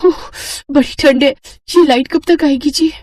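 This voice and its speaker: Woman playing a high, plaintive young daughter-in-law. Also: moderate pace, a little mellow, slight background noise, lightly acted. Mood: whining, alarmed. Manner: plaintive, whiny. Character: young city-bred new daughter-in-law, shivering and complaining. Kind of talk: cartoon moral story acting.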